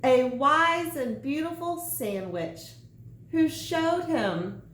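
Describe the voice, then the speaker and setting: A woman's voice: sits high, expressive, takes it slowly, a bit bright, pitched above the performer's own voice, full character voice. A woman voicing a cowed, hesitant male pig in a children's storybook, meek and weary. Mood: resigned. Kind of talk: children's storybook read-aloud. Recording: echoey room, faint background noise.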